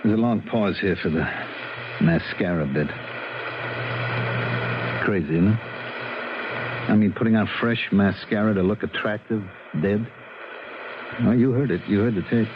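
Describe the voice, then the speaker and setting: A man playing an earnest, troubled police officer, ruminating haltingly and repeating himself in a 1970s radio drama. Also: fairly low pitch, natural voice. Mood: musing, confiding. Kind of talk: 1970s radio drama acting, conversational monologue. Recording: muffled, slightly noisy old radio broadcast.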